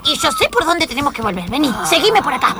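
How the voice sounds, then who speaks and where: A whiny, eager little boy acted by a woman, very high and swinging widely in pitch. He pleads and bosses with an Argentine accent in improvised radio comedy, over loud noise. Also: quick pace. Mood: excited, bossy. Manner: pleading, excitable, childish.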